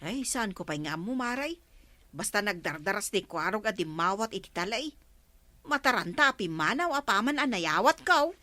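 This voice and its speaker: A woman playing a fussy, excitable village councilor in a comedy drama, with an Ilocano accent and exclamatory, questioning delivery. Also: medium pitch, moderate pace. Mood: puzzled.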